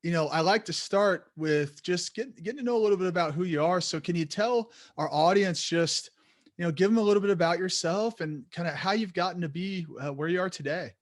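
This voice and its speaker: A man's voice: high voice, fairly quick. A man hosting a podcast who interviews his guest in an upbeat, friendly way over a video call. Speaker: podcast host.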